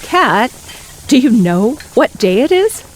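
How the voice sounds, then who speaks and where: An actress playing a measured, insistent middle-aged mother who is a doctor, in an audio drama. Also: fairly high pitch, sing-song, slight background noise, poor audio. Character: firm, pushy middle-aged mom, a doctor.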